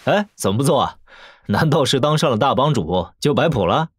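A man's voice: mid-range voice, expressive, brisk, slightly rough, no echo. A man playing a playful young prince in an audio drama, casually commanding and full of mock-polite banter. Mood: breezy, hospitable.

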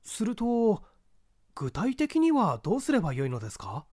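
A man as a polite questioner, lilting and high. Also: wildly swooping pitch, moderate pace, darkish tone, no room sound, studio quality, heavily acted. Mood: curious, questioning. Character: inquiring, deferential junior listener questioning a professor. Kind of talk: dramatic audiobook reading of mystery fiction.

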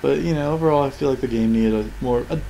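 A man's voice: mid-range voice, fast talker, gravelly, warm tone, slight background noise, low-quality recording. A man giving casual, rambling, critical off-the-cuff commentary on video game cutscenes. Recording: game soundtrack playing behind. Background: video game cutscene audio and music.

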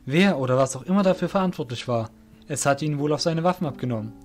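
A man's voice: a little high, lively intonation, quick pace, faint music behind. A man reading scripted narration for an audio drama as the narrator.

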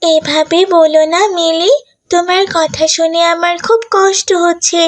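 A kind and pious young schoolgirl in a children's cartoon. Her voice is extremely high, and she speaks with earnest, polite care for her friends. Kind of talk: animated children's cartoon dialogue.

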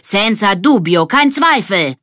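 A woman voicing an outspoken, sharp-tongued girl in a children's story, emphatic and accusing. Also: mid-range voice, expressive, slow, no echo, studio quality, voice pushed up. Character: outspoken, sharp-tongued girl who mixes in Italian words.

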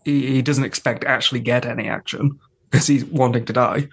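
A man's voice: mid-range voice, steady tone, brisk, husky. A man appearing as a podcast guest, casually discussing a television episode.

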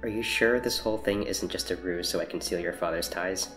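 An actor playing a guarded, pedantic researcher in an audio drama, speaking formally and precisely with some hesitation. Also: male voice, low-pitched, a little fast, a bit bright, faint music behind. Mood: dry, playful. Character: reserved, pedantic male researcher, anxious and guarded. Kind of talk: naturalistic audio drama dialogue.

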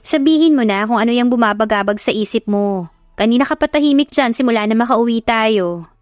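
Young wife for an audio drama, high and scolding, voiced by a woman narrator. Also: lively intonation, a little fast, dry recording, clean studio sound, natural voice. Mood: teasing. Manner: loud, scolding, teasing. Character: young wife, new mother, teasing.

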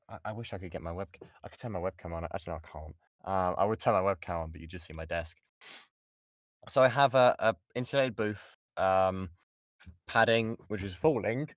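A man's voice: lowish voice, no echo, studio quality. A British man, a voice actor, chatting informally and self-deprecatingly as he answers questions in an online workshop. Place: insulated padded home booth. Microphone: XLR studio microphone.

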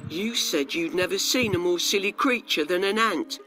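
A man's voice: sits high, normal speed, pushed and bright, soft background music. A man voicing a practical, hard-working ant in a children's storybook, curt, blunt and grumbling. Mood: unmoved, blunt.

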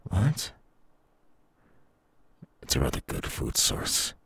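A man voicing a menacing, gloating young demon villain in an ASMR audio roleplay, with a husky, breathy, gravelly voice. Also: takes it slowly, a bit bright. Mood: sinister coaxing.

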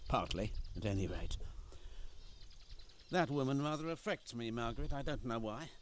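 A man acts out a kindly struggling painter and father in a radio play. His middling voice moves through very animated intonation. He is whimsical and gently admonishing. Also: mid-range voice, normal speed, a little hiss or hum, close to an everyday voice. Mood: reflective.

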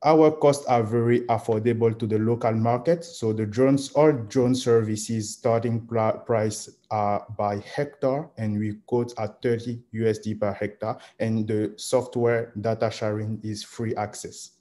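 Low-voiced man. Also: fairly even intonation, a little slow, few pauses, warm tone. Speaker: startup founder and CEO. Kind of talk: startup pitch presentation. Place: indoors on a video call. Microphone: video call microphone.

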